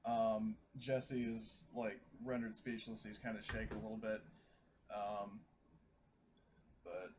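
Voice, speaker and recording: A man playing a tabletop roleplaying game, chatting casually in a halting, hesitant way. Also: lowish voice, little pitch movement. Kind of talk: casual in-character and out-of-character game chat. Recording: clearly noisy mic with clicks.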